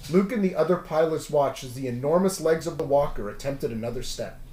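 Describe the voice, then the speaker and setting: A man with a fairly high voice narrates a children's adventure story aloud. His delivery is dramatic, leaning into the dialogue, and the room adds a clear echo. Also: a little mellow. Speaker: storybook read-aloud host. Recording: roomy echo.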